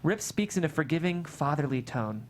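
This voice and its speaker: A woman narrates stage directions at a script reading. Her delivery is expressive storytelling. A noticeable hum and noise run behind the voice. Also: deep voice, normal speed.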